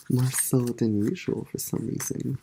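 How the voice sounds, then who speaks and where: A soft-spoken tarot reader with a stuffy, congested voice drifts from thought to thought in a relaxed card reading. Loud background noise and the clicks of shuffled cards fill the recording. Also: medium pitch, vocal fry. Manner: soft-spoken, meandering, musing aloud.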